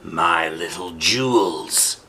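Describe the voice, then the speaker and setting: A man voicing a cunning old wolf posing as a kindly grandmother, coaxing in a drawn-out low voice for a storybook. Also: bright, forceful tone, exaggerated voice. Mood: sly, coaxing.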